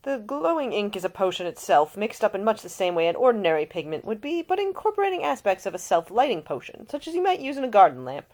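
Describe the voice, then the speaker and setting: A man's voice: sits high, very animated, fast, few pauses, darkish tone, pitched above the performer's own voice. A woman voicing a pedantic nobleman in an audiobook, precise and given to lecturing. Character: irritable, pedantic young earl obsessed with potions. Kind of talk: audiobook character voice.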